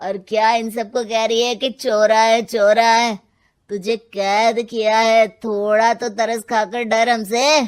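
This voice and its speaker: A woman voicing a menacing, mocking burglar with forceful taunts in a Hindi cartoon story. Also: a little high, flat delivery, slow pace. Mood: threatening, mocking.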